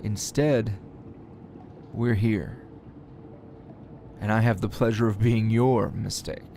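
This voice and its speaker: A man's voice: lowish voice, fairly even intonation, slow, gravelly, warm tone, a little hiss or hum. A man playing a defiant, sardonic captured hero and teacher in an ASMR roleplay, taunting his kidnapper in a drawl broken by sighs and chuckles.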